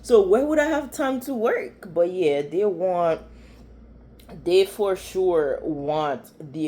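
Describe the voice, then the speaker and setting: A woman's voice: low voice, warm tone, a little hiss or hum. A woman with a Haitian accent giving blunt, chatty commentary as a vlogger reacting to online threads. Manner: blunt, opinionated, chatty with many fillers.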